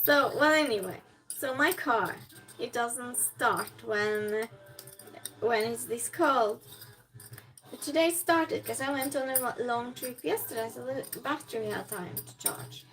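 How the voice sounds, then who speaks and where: A woman's voice: a little high, a little slow, fairly bright tone, soft background music, rough recording. A woman who is not a native English speaker chats casually on a livestream, rambling along and answering viewers as she goes. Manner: rambling, reads viewer comments aloud and replies. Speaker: live streamer. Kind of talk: casual livestream chat while cooking. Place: indoors at home while cooking.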